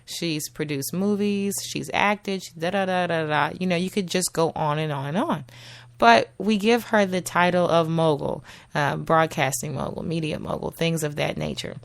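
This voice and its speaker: A woman with a low, gravelly voice gone husky from a cold, talking through a solo show in a chatty, easygoing way. She laughs often and leans on little fillers. The sound is close and dry. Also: normal speed, no room sound. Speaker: podcast host. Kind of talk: solo casual talk show.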